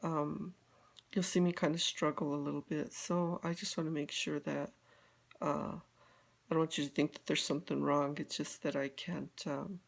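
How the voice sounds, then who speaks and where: Older woman from Colorado, low flat voice, gravelly, rambling casual live chat. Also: normal speed. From Colorado, USA. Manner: rambling, many fillers and restarts. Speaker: beauty guide vlogger.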